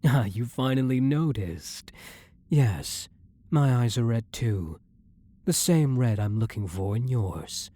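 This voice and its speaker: A man's voice: medium pitch, animated, slow, mellow. A man playing a centuries-old vampire hunter who is himself a vampire, blunt and brooding, in a dramatic ASMR roleplay monologue. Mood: menacing, taunting.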